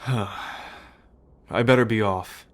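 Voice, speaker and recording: Slow, heartfelt remorseful brother acted by a man. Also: on the low side. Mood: determined, affectionate. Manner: hesitant, sighing, heartfelt. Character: remorseful, affectionate brother on the run. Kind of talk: ASMR roleplay acting.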